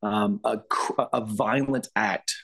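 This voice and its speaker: Halting middle-aged man in a video interview. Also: fairly low pitch, fairly even intonation, creaky, a bit bright, a little hiss or hum. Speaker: pastor being interviewed. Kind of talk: unscripted interview answers. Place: indoor room. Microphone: video call.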